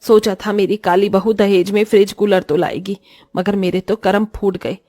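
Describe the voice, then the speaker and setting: A woman's voice: mid-range voice, fairly even intonation, moderate pace, mellow, no room sound, clean studio sound, soft background music, natural voice. A woman voicing a greedy, scolding mother-in-law in a cartoon story, with a taunting, melodramatic manner. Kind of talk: Hindi cartoon story voice acting.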